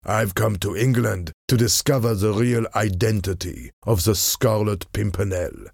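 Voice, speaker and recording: A man voicing a cold, cunning French ambassador of forty in an audiobook, smooth-tongued, deliberate and menacing. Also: lowish voice, a little mellow, dry recording, studio quality, exaggerated voice. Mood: intense, hateful.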